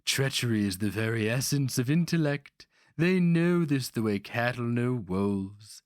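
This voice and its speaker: A man voicing an aged, frail, haughty grandmaster sorcerer in a dramatic fantasy reading, hissing slowly with sly menace. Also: mid-range voice, animated, no room sound, studio quality, voice pushed up, natural voice. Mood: scornful insinuation. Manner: hissing, slow and deliberate.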